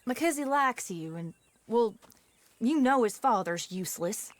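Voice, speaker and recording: A woman's voice: fairly high pitch, expressive, a little slow. An actress playing a frank, worried young mother from an outlaw camp in a Western video game. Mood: pleading, frank.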